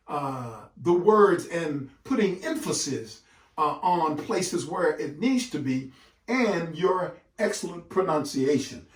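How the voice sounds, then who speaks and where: In an echoey room, a man presents like a teacher addressing parents. His voice sits fairly high and swings widely in pitch. He leans on key words and sets them apart with deliberate, dramatic pauses. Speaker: literacy educator hosting a read-aloud session for parents. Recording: echoey room, distant microphone.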